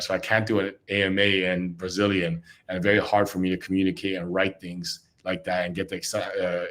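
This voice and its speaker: A man's voice: sits low, a bit bright. A man who grew up in Congo, a startup CEO, giving casual interview answers over a remote call and often tagging his points with "right?". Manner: conversational, often tags "right?".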